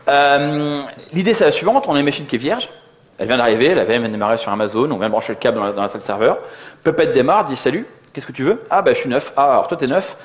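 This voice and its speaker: A man's voice: medium pitch, lively intonation, fast talker. A man giving an informal technical conference talk in a slangy, conversational way, often acting out little dialogues. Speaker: conference co-speaker, software developer.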